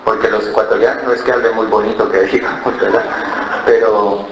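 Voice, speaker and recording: A man's voice: on the low side, fairly even intonation, fairly quick, roomy sound, highs cut off, a crowd in the background. A man, a pastor with an accent from Cali, Colombia, preaching a sermon and telling a personal story, often asking "¿verdad?". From born in Ecuador, raised in Colombia. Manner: storytelling, mimics other voices, frequent "¿verdad?". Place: church.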